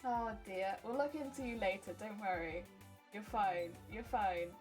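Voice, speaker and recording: A woman's voice: mid-range voice, measured pace. A woman with a British accent chatting casually on a craft live stream, giggling and muttering to herself as she works. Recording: loud music playing under voice. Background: loud background music and sewing machine.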